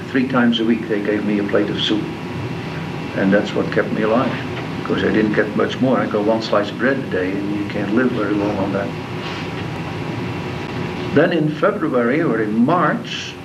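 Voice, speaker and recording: An older man with a Dutch accent recounts his memories to students in a plain, measured way, pausing and restarting now and then. He sits away from the mic on a dull, lo-fi tape with a clear hiss and a hum behind him. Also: lowish voice, recorded from a distance. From Netherlands. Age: 70s. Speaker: guest speaker. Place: school classroom.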